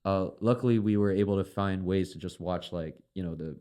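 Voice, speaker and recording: A man's voice: low-pitched, a little fast, warm tone. A man whose first language is Chinese, a filmmaker chatting casually as a podcast guest, rambling with lots of fillers and casual swearing. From China.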